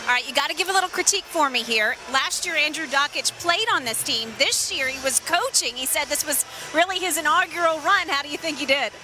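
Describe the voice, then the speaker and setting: A woman sideline reporter asking quick, upbeat postgame questions. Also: high voice, lively intonation, noisy background. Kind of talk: postgame interview.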